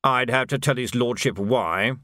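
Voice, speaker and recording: A man's voice: medium pitch, unhurried, bright, forceful tone, no room sound, studio quality. A man voicing a newly promoted army major who sounds prim and flustered. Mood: uneasy.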